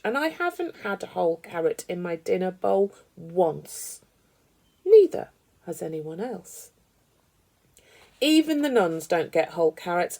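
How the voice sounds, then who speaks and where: A woman reading a children's story aloud with animated, dramatic emphasis. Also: mid-range voice. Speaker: storybook reader.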